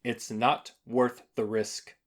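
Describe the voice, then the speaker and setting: A man's voice: medium pitch, little pitch movement, slow. A man acts as host, reading a scripted introduction in announcer style. His voice sits in the middle of the male range, and he presents each item to the listeners in turn. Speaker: festival host.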